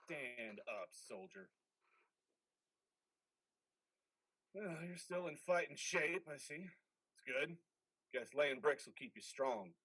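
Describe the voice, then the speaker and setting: A man performs a ghostly army commander in a play reading. The voice is fairly high for a man and very animated, giving orders with stern, manipulative authority in a dry recording. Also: a little slow, no echo, heavily acted. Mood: commanding. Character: commanding ghost of a dead male army officer.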